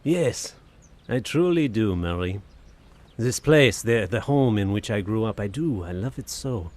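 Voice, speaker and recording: A man's voice: fairly low pitch, lively intonation, a little slow, a little mellow. An actor playing a genteel, poetic Frenchman of the 1880s in an audio drama, speaking in a florid, theatrical manner. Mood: wistful delight. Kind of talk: audio drama acting, diary narration and dialogue. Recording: music behind the voice.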